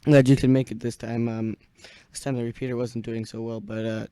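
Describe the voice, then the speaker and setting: A young man hosting an amateur radio net in casual, halting chat, searching for words as he goes. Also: medium pitch, little pitch movement, warm tone, smooth, no room sound. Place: small indoor radio room.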